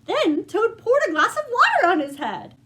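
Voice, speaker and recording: A high-voiced woman tells stories to children with lively swings of pitch. She is playful and chatty, speaking to her young listeners as friends. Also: normal speed. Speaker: children's storyteller and show host. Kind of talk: animated storybook reading and chat to young viewers.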